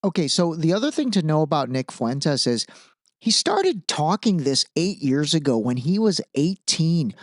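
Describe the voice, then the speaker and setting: A man's voice: fairly high pitch, very animated, normal speed, no echo. Emphatic male podcast host, dry close sound. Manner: informal, emphatic, repeats phrases for emphasis. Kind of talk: casual opinionated commentary on an interview.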